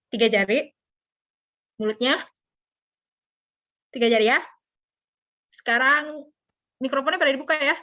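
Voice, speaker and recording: A woman with a bright, high voice leads a casual online speaking class at an unhurried pace. She is playful and colloquial, giving instructions to her students. The audio is slightly dull, like a video call. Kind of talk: informal interactive online lesson.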